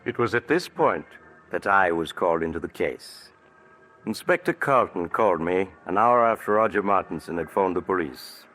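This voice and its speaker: An actor narrating as a measured, matter-of-fact male investigator in a 1940s radio drama. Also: low-pitched, fairly bright tone. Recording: old 1940s radio broadcast recording with faint music.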